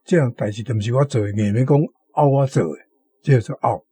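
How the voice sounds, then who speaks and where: A man's voice: mid-range voice, quick pace, mellow, breathy edge, dry recording. A man with a Taiwanese accent explaining words in a didactic way as a radio guest, repeating key terms. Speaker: guest commentator on a radio programme. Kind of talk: explanatory talk on words and meanings.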